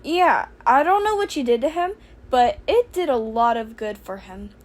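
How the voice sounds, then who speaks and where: A woman's voice: high-pitched, expressive, moderate pace. Woman acting a brash, sardonic young heroine. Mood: casual, fond. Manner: casual, exasperated, emphatic. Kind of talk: audiobook character voice for fan fiction.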